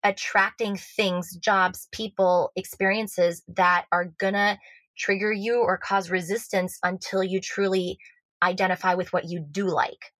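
A woman's voice: medium pitch, little pitch movement, unhurried, a bit bright, dry recording. A woman from Switzerland with an American accent, a love coach guest talking earnestly in a casual podcast chat, full of fillers and restarts.

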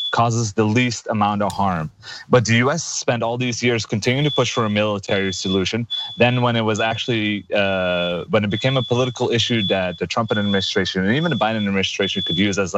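A man in his thirties answering interview questions in an earnest, halting way, with many fillers and restarts. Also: on the low side, highs cut off, very noisy. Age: 30s. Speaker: guest activist.

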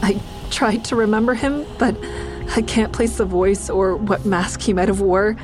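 An actress playing a wary, anxious woman who narrates an audio drama in the first person, measured and confiding. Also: medium pitch, fairly even intonation, music in the background, close to an everyday voice. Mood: frightened.

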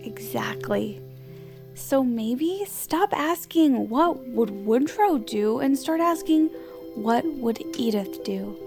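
A woman's voice: a little high, lively intonation, slow, vocal fry, over music, full character voice. An actress playing a devoted, quirky young woman, casual and drily funny, in an audio drama. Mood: supportive, playful. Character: perky, devoted young female confidante, quirky and supportive.

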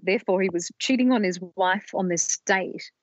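A woman co-hosting a podcast, explaining research in a measured storytelling way on a slightly dull, lo-fi recording. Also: medium pitch, loud music behind the voice. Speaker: podcast co-host presenting research.

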